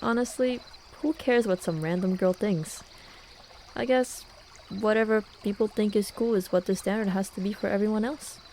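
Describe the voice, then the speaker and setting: A woman's voice: mid-range voice, normal speed, darkish tone, slight background noise, lightly acted. A young woman acting a playful, teasing student friend in a casual, intimate ASMR roleplay. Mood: wistful. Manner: casual, teasing, with sighs and chuckles. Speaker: voice actor. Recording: running and trickling water behind voice. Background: hot spring water ambience.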